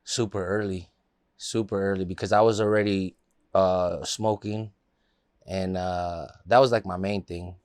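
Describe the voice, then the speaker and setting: Low-voiced laid-back man from Los Angeles. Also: fairly even intonation, a little slow, dry recording, clean studio sound. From Los Angeles area. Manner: laid-back, loose storytelling with many fillers. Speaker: interview guest, rapper. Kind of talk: casual interview talk.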